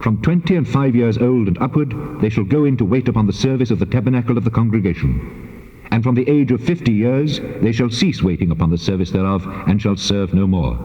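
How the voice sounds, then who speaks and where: An actor playing God as a solemn, commanding deity giving laws in a stately, measured dramatized scripture reading. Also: male voice, fairly low pitch, mellow, natural voice. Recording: dull cassette tape, long cavernous reverb.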